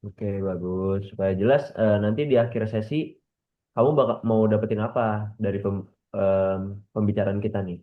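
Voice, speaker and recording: Soft-spoken young man on a tinny line. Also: fairly low pitch, steady tone, brisk. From Bekasi, Indonesia. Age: 20s. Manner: gentle, informal, frequent fillers. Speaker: coach. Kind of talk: role-play coaching session.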